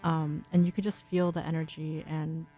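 A woman's voice: low-pitched, little pitch movement, normal speed, warm tone, soft background music. A young woman hosting a college radio show, talking casually between songs with lots of ums and little laughs. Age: young adult. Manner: chatty, frequent ums, giggles often.

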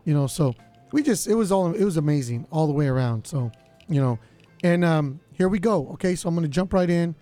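Laid-back man DJ, slightly raspy. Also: on the high side, animated, a little fast, warm tone, soft background music. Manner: laid-back, lots of fillers. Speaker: radio DJ host. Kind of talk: casual radio show chat.

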